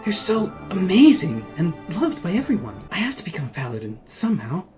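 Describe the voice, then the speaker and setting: A man's voice: high voice, wildly swooping pitch, fairly quick, over music, voice pushed up. A man voicing a hot-headed, ambitious young army priestess in a fantasy game, brash and emphatic. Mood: yearning, apologetic.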